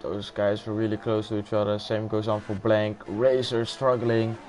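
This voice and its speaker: A man's voice: lowish voice, normal speed. Dutch guy calling an esports race live, gets hyped on close moves, faint hiss behind. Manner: excitable, exclaims and laughs during close moves. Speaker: sim racing commentator.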